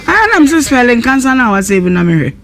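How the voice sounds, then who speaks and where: A woman's voice: on the high side, big pitch swings, a little fast, gravelly, fairly bright tone. A woman with a Ghanaian accent hosting a live radio talk show, questioning and commenting in a blunt, probing way.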